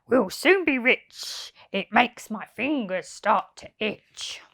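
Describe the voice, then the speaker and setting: Woman putting on a gullible greedy storybook crook, drawn-out delivery. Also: fairly high pitch, expressive, creaky, a bit bright, heavily acted. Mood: gloating. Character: Lanky Len, greedy dim male storybook thief.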